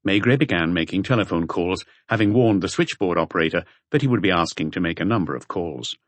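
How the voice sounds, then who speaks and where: Low-voiced man narrating, lively intonation. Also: fast, no room sound. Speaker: audiobook narrator. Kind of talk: scripted crime fiction narration.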